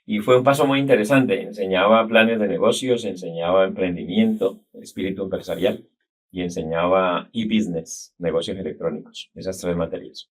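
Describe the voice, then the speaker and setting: Middle-aged Colombian man from near Cali, very low voice, calm and reflective, interview guest. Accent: Colombian, from the Cali area. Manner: measured, reflective storyteller. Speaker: guest, business consultant. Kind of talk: casual interview talk.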